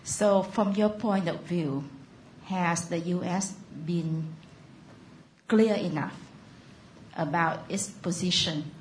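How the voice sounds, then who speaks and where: Female audience questioner, polite and deliberate, slightly low register, roomy sound with faint background noise. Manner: deliberate, polite, pausing between phrases.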